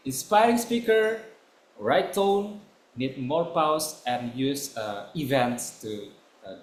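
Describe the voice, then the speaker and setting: A man giving spoken feedback as a club evaluator, with halting, non-native phrasing, in an echoey room. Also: medium pitch, lively intonation. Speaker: speech evaluator at a public speaking club.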